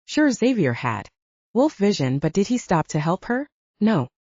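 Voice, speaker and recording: A woman's voice: mid-range voice, sing-song, unhurried, a little mellow, dry recording. A woman narrating fiction as a scripted, dramatic audiobook reading. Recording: slightly dull, dry close recording.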